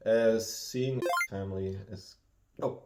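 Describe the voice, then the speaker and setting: A man, co-hosting a casual chat, who talks in a halting, reflective way with many restarts. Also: on the low side, fairly even intonation, loud music behind the voice. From Germany. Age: 30s. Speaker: co-host. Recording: roomy echo.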